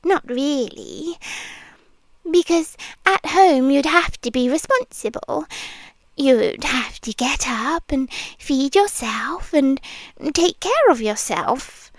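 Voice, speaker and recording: Brief: British woman as a controlling nurse, breathy ear-close whispers, very slow, high voice. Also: expressive, dry recording. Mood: coaxing. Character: possessive female nurse, soft and controlling. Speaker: ASMR roleplay performer. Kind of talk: whispered ASMR roleplay.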